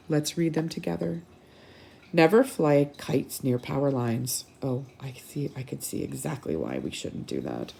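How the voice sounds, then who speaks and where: A woman reading a picture book aloud to children as a storytime host, animated and teacherly, with dramatic delivery and little asides. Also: extremely low pitch, creaky.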